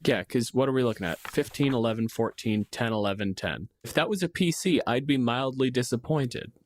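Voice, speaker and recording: A man's voice: mid-range voice, brisk, a little hiss or hum. A man co-hosting a podcast in casual discussion of tabletop games, opinionated and freely swearing. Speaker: podcast co-host.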